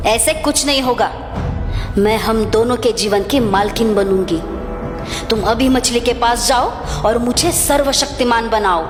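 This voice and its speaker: A woman's voice: on the high side, measured pace, lightly acted. A woman playing a haughty, power-hungry fisherman's wife, imperious and petulant, in a children's cartoon. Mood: angry, demanding. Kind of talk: children's cartoon dub acting. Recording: echoey long reverb, music behind.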